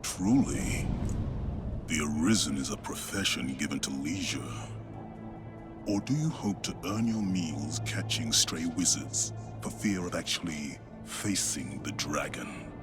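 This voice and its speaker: A man playing a haughty, menacing rogue in a fantasy game, speaking in a taunting, theatrical manner with archaic phrasing. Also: extremely low pitch. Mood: scornful. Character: haughty mocking male rogue, sly and menacing. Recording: loud music behind the voice.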